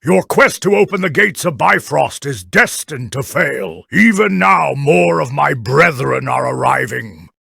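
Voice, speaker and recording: Gravelly game villain henchman, male actor, husky, hostile and taunting, dry close recording. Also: a little high, expressive, unhurried, hardly any pauses, bright, forceful tone, no echo, exaggerated voice. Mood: menacing. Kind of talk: fan-made video game voice acting.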